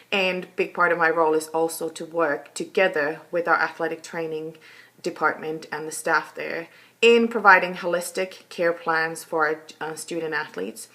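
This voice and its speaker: A middle-aged woman from Finland, a university lecturer giving a measured, explanatory recorded lecture. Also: deep voice, sharp tone.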